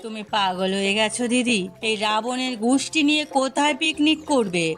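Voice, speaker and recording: A woman's voice: on the high side, fairly quick, faint music behind, close to an everyday voice. A woman voicing an older, good-natured but weary village matriarch in a cartoon. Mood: bossy. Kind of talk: cartoon voice acting.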